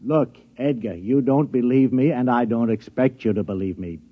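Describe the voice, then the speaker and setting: An actor playing a flustered security chief who stammers and repeats himself, in a 1950s radio drama. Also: male voice, mid-range voice, moderate pace, natural voice. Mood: pleading. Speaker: security chief at an atomic laboratory. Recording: dull old radio recording.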